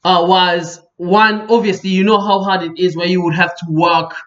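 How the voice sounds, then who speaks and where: A South African man in his thirties hosting a casual podcast chat, his voice sitting fairly high for a man. He talks with animated emphasis and repeats phrases for weight, and the audio sounds dull and lo-fi. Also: moderate pace. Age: 30s. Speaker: podcast host.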